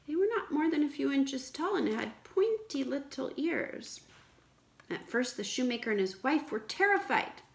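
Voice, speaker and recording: A woman teacher reading aloud to children in an expressive, explanatory way, with questions for the listeners. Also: high-pitched, moderate pace, gravelly. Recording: echoey room.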